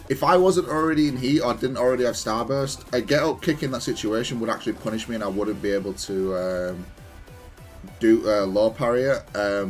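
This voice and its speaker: A man giving off-the-cuff commentary on his own fighting game replays, explaining things in a hesitant, stop-start way. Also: on the low side. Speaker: gamer analysing own matches.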